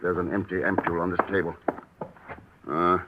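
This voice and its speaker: An actor playing a calm male secret agent, speaking in clipped lines in an old radio drama. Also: low-pitched, brisk, narrow, tinny audio, close to an everyday voice. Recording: hissy old radio recording.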